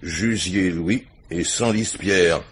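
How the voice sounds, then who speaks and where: An actor playing a matter-of-fact police inspector, a deferential deputy with a plain colloquial delivery, in a French radio crime drama. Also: male voice, low-pitched, slow pace, slight background noise. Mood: factual.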